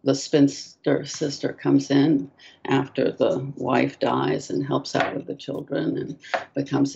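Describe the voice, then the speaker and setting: An older woman whose first language is French, answering interview questions softly and hesitantly over a video call. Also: rumbling low, gravelly, slightly rough, highs cut off. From France. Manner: soft-spoken, hesitant, many ums. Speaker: author, guest.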